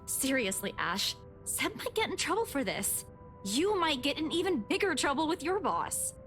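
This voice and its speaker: Game heroine: a guarded, prickly, caring young woman, higher-pitched voice, music behind. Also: big pitch swings, moderate pace, fairly bright tone. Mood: pleading. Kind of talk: visual novel voice acting, dialogue.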